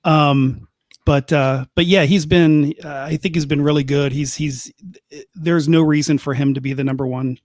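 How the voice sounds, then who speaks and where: A man's voice: a little high, fairly even intonation, normal speed, darkish tone, no echo, studio quality. A man co-hosting a soccer talk show, chatting casually with frequent restarts. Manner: chatty, restarts sentences, light laughs. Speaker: podcast co-host. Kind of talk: casual chat. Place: press box at the stadium.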